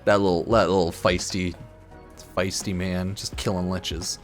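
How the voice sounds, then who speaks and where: A man streaming casual, rambling commentary on a game, loose and halting in an informal, slangy, slightly tipsy way. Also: low-pitched, flat delivery. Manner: informal, crude slang and swearing. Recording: music behind the voice. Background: background music.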